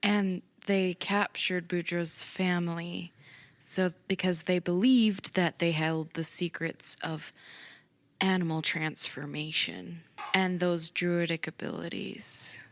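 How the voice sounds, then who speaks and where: A woman playing a tabletop game, explaining events in character in a halting, explanatory manner. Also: lowish voice, fairly even intonation, no echo.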